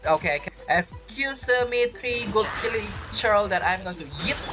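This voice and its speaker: A woman's voice: mid-range voice, expressive, normal speed. A woman giving casual video game playthrough commentary, rambling and thinking aloud with questions to herself. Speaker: gamer, video creator. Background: game music.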